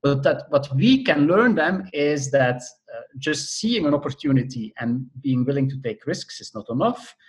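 A man's voice: on the high side, lively intonation, moderate pace, poor audio. A middle-aged man from Belgium, a professor of entrepreneurship, answering interview questions in a thoughtful way that explains things step by step, with frequent hesitations.

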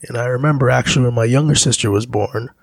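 Gravelly, husky man telling stories. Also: mid-range voice, fast, dry recording. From Zambia. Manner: rambling, reflective storytelling. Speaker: musician guest. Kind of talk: casual interview talk.

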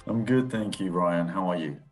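A man's voice: deep voice, moderate pace, faint music behind. A man in his thirties, raised in England after a childhood that began in Thai, talking reflectively and hesitantly as a podcast guest. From England, grew up in Swindon. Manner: reflective and hesitant, with many fillers and restarts. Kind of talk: casual interview.

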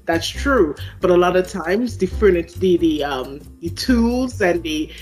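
A woman's voice: fairly low pitch, animated, measured pace, darkish tone, soft background music, rough recording. A woman from Trinidad and Tobago with a Trinidadian accent, speaking articulately as an interview guest and laughing easily. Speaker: guest, people analytics expert. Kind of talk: interview answers.

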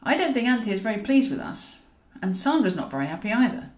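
A woman's voice: mid-range voice, very animated, fast, darkish tone, natural voice. Woman voicing a bossy elderly schemer, roomy sound. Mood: brisk scheming. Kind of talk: character dialogue in an audiobook.

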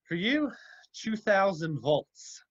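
An actor playing a quick-witted, wisecracking lawyer in a vintage radio crime drama, stammering when rattled. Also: male voice, high-pitched, very animated, husky, dull audio, heavily acted. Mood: cool, wisecracking.

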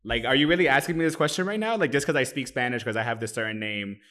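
A man's voice: medium pitch, fast talker, sharp tone. A man with a mid-range voice making his points earnestly and with emphasis. His speech is loose and casual, peppered with "like" as he works through his thoughts. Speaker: podcast co-host. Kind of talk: casual panel discussion.

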